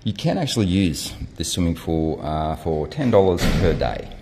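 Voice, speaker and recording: A man's voice: sits low, sing-song, normal speed, background noise. A man casually narrating a walk-through review as a vlogger, recorded on a handheld phone while walking around. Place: walking around a hotel, rooms and rooftop.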